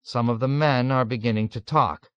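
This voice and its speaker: A man voicing a meek but sly young mess officer who speaks softly and quietly insists. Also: medium pitch, normal speed, clear, resonant tone, no echo, muffled recording, pitched above the performer's own voice, close to an everyday voice. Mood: demure. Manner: demure, soft, quietly insistent.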